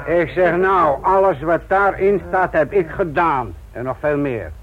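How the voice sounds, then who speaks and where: An actor playing a sardonic, gruff older police instructor in a Dutch radio play, patronising and deliberate in manner. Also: male voice, on the high side, animated. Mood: gruff, defensive. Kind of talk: Dutch radio drama acting. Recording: thin, narrow-band old radio recording.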